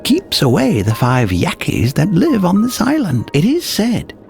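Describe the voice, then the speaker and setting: A creaky, gravelly philosopher who lives alone, for a video game. The delivery swings widely in pitch while staying terse, measured and imperious, like cryptic pronouncements handed down. Soft music plays behind. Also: wildly swooping pitch, full character voice. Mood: solemn. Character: solitary, cryptic philosopher living alone among candles. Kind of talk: video game character dialogue.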